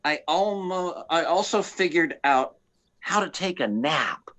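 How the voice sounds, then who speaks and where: A man playing a hapless, insubordinate, hard-drinking slacker space pilot in a comic radio play, with halting, stammering delivery. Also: on the high side, animated, fairly bright tone. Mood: sheepish boasting.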